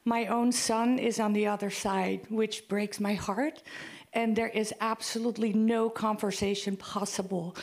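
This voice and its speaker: A woman from the Netherlands asks the panel a question from the audience. She speaks in a heartfelt, halting way. Her voice has a gravelly fry and a medium pitch. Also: little pitch movement. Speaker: audience member. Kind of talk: question from the floor.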